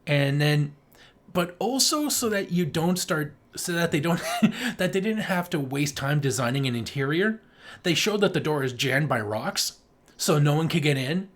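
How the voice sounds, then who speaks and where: A podcast co-host with a medium-pitched, very animated voice that swings up and down. The delivery is casual and enthusiastic, with emphatic points and plenty of swearing, like an informal film review. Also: normal speed.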